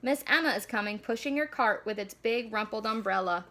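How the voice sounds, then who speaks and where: A woman voicing an eager, excitable young boy from a storybook, exclaiming and pleading. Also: fairly high pitch, fairly quick, noisy background, lightly acted. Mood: excited.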